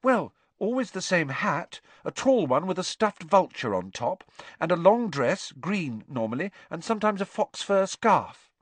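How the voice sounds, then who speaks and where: A man's voice: high voice, big pitch swings, slightly rough, dry recording, pitched above the performer's own voice, full character voice. A man voicing a nervous, timid teenage schoolboy who speaks hesitantly. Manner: hesitant, halting.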